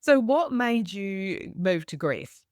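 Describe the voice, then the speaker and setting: A woman's voice: medium pitch, big pitch swings, takes it slowly, no echo, clean studio sound. A woman hosting a travel podcast, friendly and conversational. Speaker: podcast host.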